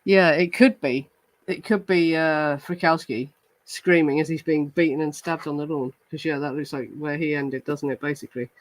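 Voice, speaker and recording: A man's voice: on the high side, fairly even intonation, moderate pace, no room sound, poor audio. Chatty man thinking aloud on a podcast. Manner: chatty, thinking aloud with many fillers and restarts; reads police report passages aloud. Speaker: true crime podcast host. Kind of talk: casual chat reacting to live comments.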